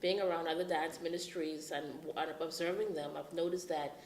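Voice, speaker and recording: A woman from the Bahamas being interviewed as a dance ministry leader, speaking in a measured way with many "um" pauses. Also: deep voice, steady tone, darkish tone, echoey room, slight background noise. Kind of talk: casual interview.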